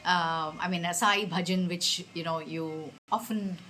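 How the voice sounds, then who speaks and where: A woman with an Indian accent conducting a conversational interview, phrasing her questions in a halting way. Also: fairly low pitch, steady tone, sharp tone, slight background noise, rough recording.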